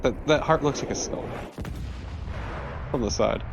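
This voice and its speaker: A man's voice: mid-range voice, unhurried, gravelly, background noise. A man co-commentating on a video game in casual, wry banter with some swearing. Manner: wry, profane. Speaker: co-commentator of a let's play. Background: game soundtrack music.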